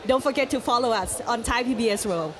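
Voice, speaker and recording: A woman's voice: fairly high pitch, a little fast. A woman presenting casually at a live event as a co-host. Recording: light crowd chatter behind.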